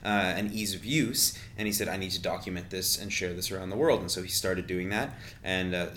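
A man from Montreal with a Canadian accent, speaking as a podcast guest. He explains his work earnestly, in a notably low voice with a faint hum behind him. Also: a bit bright. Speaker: guest, urban consultant.

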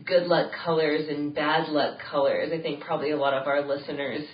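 A low-voiced woman chatting on a podcast. She is stuffy with a cold and laughs her way through lively stories. She sounds far from the mic in an echoey room. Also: brisk. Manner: laughs often, animated storytelling. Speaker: podcast co-host. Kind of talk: casual chat. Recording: roomy, distant, dull lo-fi sound.

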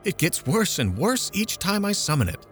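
Petulant, easily affronted mother, voice pitched high and complaining; a male reader puts her on. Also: very animated, normal speed, faint music behind. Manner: complaining, indignant, raised pitch. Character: fretful, petulant middle-aged mother.

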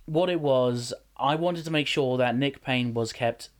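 A man's voice: medium pitch, measured pace. A man, a wrestler, telling stories in a casual podcast chat with lots of restarts. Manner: animated storyteller, many restarts. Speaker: wrestler and general manager.

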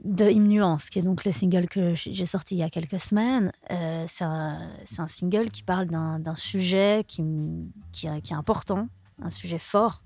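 Young woman, singer-songwriter guest, low-pitched, halting, restarts often. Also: mellow, no echo. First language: French. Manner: hesitant, searching for words, many restarts. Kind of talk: casual interview answers.

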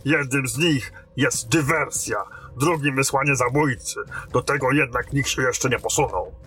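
An actor playing a blunt, battle-worn fortress captain in a fantasy audio drama, giving orders with authority. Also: male voice, mid-range voice, measured pace, fairly bright tone, faint music behind, natural voice. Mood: grave, explaining. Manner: commanding, barking orders.

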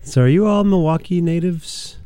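A man hosting a radio interview, asking calm, plain questions with frequent uhs. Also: on the high side, normal speed, smooth. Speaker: radio host.

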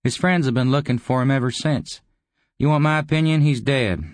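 A cocky killer-for-hire played by a male audiobook reader talks. His voice sits fairly high and has a casual, taunting ease. The sound is close and dry. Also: a little fast, dry recording, close to an everyday voice. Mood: casual, informative. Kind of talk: audiobook character acting.

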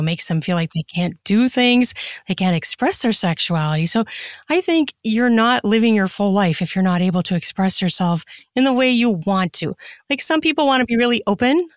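A woman's voice: mid-range voice, no room sound, studio quality. A woman speaking as a podcast guest in casual interview chat, effusive and emphatic in a conversational way. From United States. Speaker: podcast guest, erotica author.

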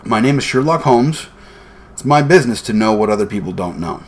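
A man voicing a shrewd gentleman detective in a storybook, bland, measured and confident. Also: fairly low pitch, expressive, natural voice. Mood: assured.

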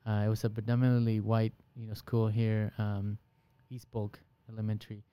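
Middle-aged male host, smooth resonant voice, relaxed, dry studio sound. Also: on the low side, normal speed, dry recording, dull audio. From Guatemalan background. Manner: relaxed, affirming listener. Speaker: podcast host. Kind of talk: casual conversation.

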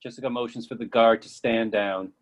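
A man's voice: mid-range voice, fairly even intonation, brisk, muffled recording. Man reading stage directions. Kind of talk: scripted narration.

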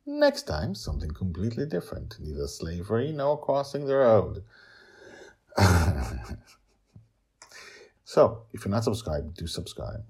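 A middle-aged man hosting his own story podcast, talking personally with dramatic emphasis and a husky voice. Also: sits low, unhurried, long pauses. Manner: animated, dramatic emphasis, chuckles while talking. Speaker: podcast host, author and storyteller. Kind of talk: personal commentary and scripted storytelling.

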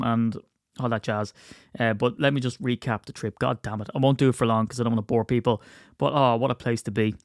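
Rambling podcast host with a cold. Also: male voice, mid-range voice, dry recording, studio quality. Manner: rambling, self-mocking, chatty. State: stuffy with a cold. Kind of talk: casual solo podcast chat.

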